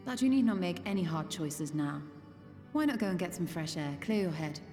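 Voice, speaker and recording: An actress playing a composed, forthright sorceress in a fantasy game, speaking in measured, formal, archaic phrases. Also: female voice, lowish voice, expressive, warm tone. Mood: gently counselling. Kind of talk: scripted fantasy game dialogue. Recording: long reverb, game music behind.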